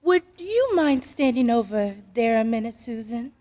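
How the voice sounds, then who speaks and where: An actress playing a brisk, skeptical working mother in an old-time radio drama, speaking firmly and properly. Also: fairly high pitch, animated, warm tone, long reverb tail, lightly acted. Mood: reproving. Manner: firm, deliberate, proper.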